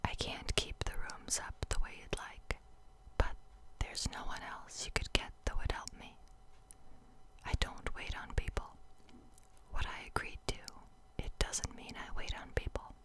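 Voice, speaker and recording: A woman reader plays a dour housekeeper from a gothic storybook, all in whisper. She recites her ominous rules slowly and flatly, rigid and gloomy. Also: lightly acted.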